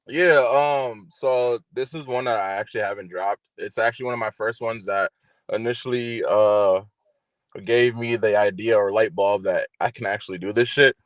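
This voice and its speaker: A man from Wisconsin with a deep voice, a musician guest talking softly and a little hesitantly. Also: normal speed, no room sound, highs cut off. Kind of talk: casual interview chat.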